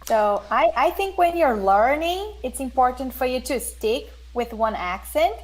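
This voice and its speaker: Slightly high-voiced woman giving friendly advice. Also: lively intonation, a little slow. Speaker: English teacher, podcast guest. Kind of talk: casual chat giving learners advice.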